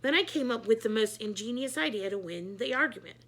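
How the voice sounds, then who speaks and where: A woman reading a children's book aloud in an animated, expressive storytelling manner with dramatic emphasis. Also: on the high side, brisk. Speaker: read-aloud storyteller, teacher.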